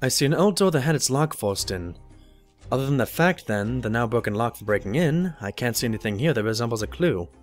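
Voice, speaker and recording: An actor playing an earnest, easily flustered pony doctor, an expressive sidekick in an audio drama. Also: male voice, medium pitch, a little fast, soft background music, close to an everyday voice. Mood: earnest, curious. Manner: expressive, stammering when embarrassed.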